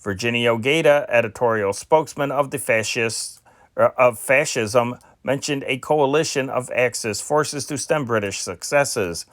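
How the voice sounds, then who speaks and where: A man's voice: mid-range voice, fairly bright tone, no echo, clean studio sound. Man reading old news dispatches. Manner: measured, with occasional stumbles and restarts. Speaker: news reader.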